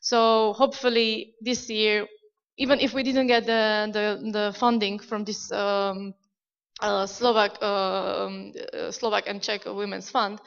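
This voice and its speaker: A woman on a conference panel who speaks haltingly with many fillers. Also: mid-range voice, level tone, creaky, clear, resonant tone. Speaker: panelist from a women's group. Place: conference hall. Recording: dull, reverberant hall recording.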